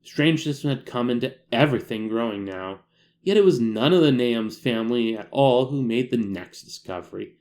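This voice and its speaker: A man's voice: mid-range voice, expressive, normal speed, a little mellow. A man reading a classic horror short story aloud as scripted audiobook narration.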